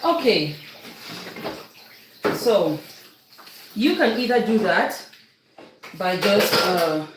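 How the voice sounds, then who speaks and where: A woman's voice: medium pitch, animated, very slow, long pauses. Chatty Cameroonian woman in an echoey kitchen. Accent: Cameroonian English. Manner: chatty, instructive, keeps checking in with viewers. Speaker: cooking livestream host. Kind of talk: casual live baking demonstration. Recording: very echoey room, faint noise.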